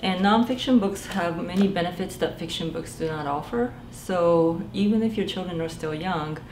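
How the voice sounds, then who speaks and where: A woman in her forties, a children's librarian, reading a picture book aloud in a gentle sing-song way and asking the children to guess. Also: on the low side, normal speed, roomy sound, slight background noise, low-quality recording. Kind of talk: storytime read-aloud for young children. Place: small library room indoors.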